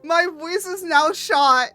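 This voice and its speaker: A young woman streaming, her voice hoarse from laughing, breaking into giggles as she chats. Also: very high-pitched, slow, bright, forceful tone, no room sound, soft background music. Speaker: VTuber artist streamer. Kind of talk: casual live stream chat.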